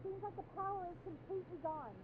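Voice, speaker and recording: An actress playing a friendly radio producer in a horror game, speaking in an earnest, chatty and practical way. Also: female voice, very high voice, quick pace, narrow, tinny audio. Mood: practical, uneasy. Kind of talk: dramatic video game voice acting.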